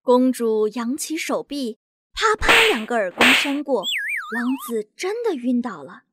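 Lively high-voiced woman storyteller. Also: measured pace, heavy background noise. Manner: lively, expressive. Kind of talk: scripted bedtime story narration.

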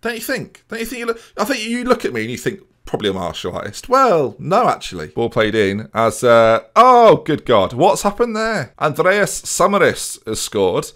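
A man's voice: a little high, huge pitch swings, normal speed, hardly any pauses, a bit bright. A young man commentating on a football management game from a small room at home, with a headset mic, chatty and jokey. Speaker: gaming streamer.

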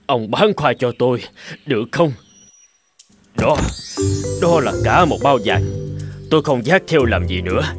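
An actor playing a weary refugee father in a cartoon folk tale, polite and measured. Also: medium pitch, animated, over music, lightly acted. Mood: earnest.

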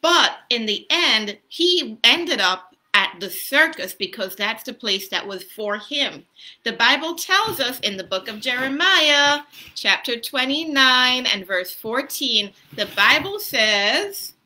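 A woman's voice: on the high side, expressive, slow, sharp tone. A woman in her thirties chatting to children as a story-time host and pastor, explaining simply and asking questions. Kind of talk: casual, child-directed teaching chat. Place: small room at home. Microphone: laptop or phone at arm's length.

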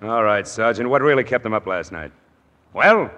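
An actor playing a brisk, stern army lieutenant in a 1940s radio play, commanding and dry. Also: male voice, fairly low pitch, expressive, bright, forceful tone, long reverb tail, highs cut off. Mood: skeptical, stern.

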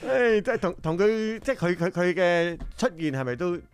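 A man's voice: high voice, animated, a little fast, smooth. A man with a Hong Kong accent hosting a casual radio interview in a chatty, reactive way. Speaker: radio host. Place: radio studio.

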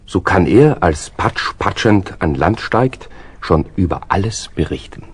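A calm storyteller for a children's radio play. He reads scripted narration in a fairly low voice. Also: a little slow, a little hiss or hum.

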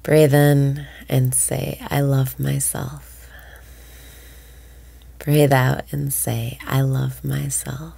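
A woman leading a guided breathing meditation in a slow, soothing voice that often drops to a whisper. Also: rumbling low, flat delivery, vocal fry, dark tone, husky, no room sound.

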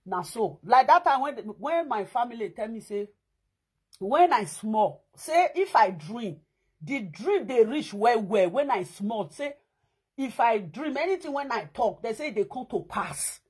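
Nigerian Pidgin-accented woman vlogger in her thirties, animated, husky and expressive. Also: fairly high pitch, measured pace. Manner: animated and emphatic. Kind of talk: casual chat to viewers. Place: indoors against a plain wall.

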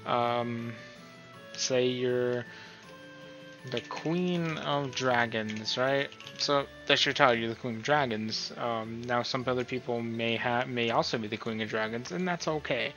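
Bright-voiced man rambling through a casual tutorial. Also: medium pitch. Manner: rambling, hesitant, self-deprecating. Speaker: tutorial host. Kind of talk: casual unscripted tutorial narration. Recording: dull lo-fi mic, music behind. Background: music.

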